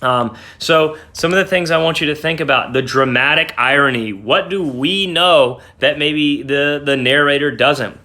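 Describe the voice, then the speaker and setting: Bright-voiced young man teaching casually. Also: mid-range voice. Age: young adult. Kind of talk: casual lesson talk. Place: small wood-panelled room at home. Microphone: phone or laptop at arm's length.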